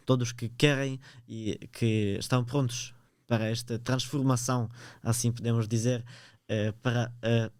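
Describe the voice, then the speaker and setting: A man's voice: mid-range voice, a little mellow, clear, resonant tone, no room sound, clean studio sound. A teenage boy from Ukraine with a Ukrainian accent, a podcast guest answering hesitantly and searching for words in a language that is not his own. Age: teens. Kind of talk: podcast interview.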